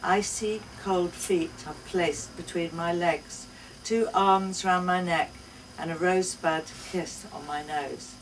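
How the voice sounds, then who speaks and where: A woman giving an expressive first-person reading of a short story at a live event. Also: on the low side, takes it slowly. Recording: distant mic, faint room noise.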